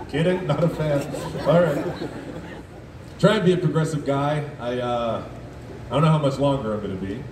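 A man in his thirties, from Alberta, with a deep voice, performing a deadpan, self-mocking stand-up comedy set and teasing the audience. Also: moderate pace, long pauses, background noise. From Canada (Alberta). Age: 30s. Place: outdoor festival stage in a city park at night. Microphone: PA speakers recorded by a phone in the crowd. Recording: echoey amplified sound from far off. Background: laughing, cheering crowd.

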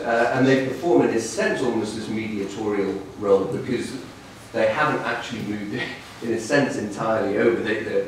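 A man speaking thoughtfully in an academic discussion, recorded from a distance in a conference room. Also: fairly low pitch. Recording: roomy echoing hall, distant mic, faint noise.

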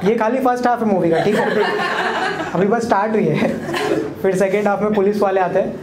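A man's voice: high-pitched, fairly even intonation, brisk. Young man doing stand-up in an echoey club. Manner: casual, crude storytelling with asides to the crowd. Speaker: stand-up comedian. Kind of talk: stand-up comedy set. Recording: echoey club room, loud crowd laughter. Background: audience laughing.

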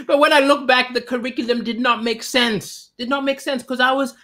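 A middle-aged man from Malawi doing stand-up over a livestream, animated and chatty between jokes. He talks to a webcam from his home kitchen, voice close and unprocessed. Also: very high voice, normal speed. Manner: animated, gesturing, shifts between chatty asides and punchlines. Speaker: comedian and livestream host. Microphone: webcam at desk.

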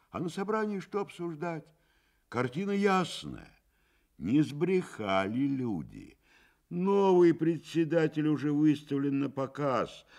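A man narrating a radio drama in a measured, expressive literary storytelling manner. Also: medium pitch.

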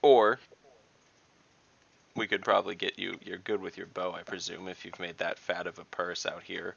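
Low gravelly man, blunt and deliberate, bright edge, lo-fi call audio. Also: flat delivery, a little hiss or hum. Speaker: player. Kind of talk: in-character tabletop play.

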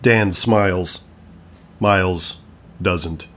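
A man's voice: low-pitched, very slow, heavy background noise. A man narrating an audio play in a scripted style, reading stage directions and credits. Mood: neutral. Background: music.

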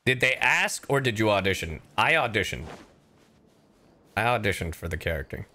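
A man streaming a game and chatting casually, animated and often halting mid-thought. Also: lowish voice, a little hiss or hum. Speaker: streamer and voice actor. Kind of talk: casual livestream chat over gameplay.

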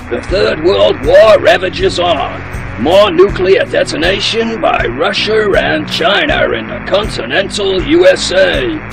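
A man's voice: high voice, vocal fry, exaggerated voice, poor audio. A man playing a dramatic, urgent news announcer reading a bulletin in a dystopian audio drama with theatrical emphasis.

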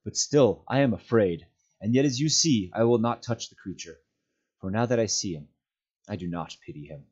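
A man's voice: fairly low pitch, expressive, darkish tone, husky, lo-fi sound. A man voicing an earnest hobbit master in a storybook reading, stern yet merciful, speaking firmly and solemnly. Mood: fearful resolve. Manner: firm, solemn, measured.

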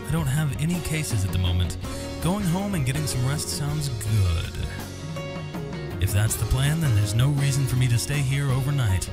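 A man hosting a gaming video, reading visual novel text aloud with asides and stumbling over some words and names. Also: mid-range voice. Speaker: gaming host. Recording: music under the voice. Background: game music with guitar.

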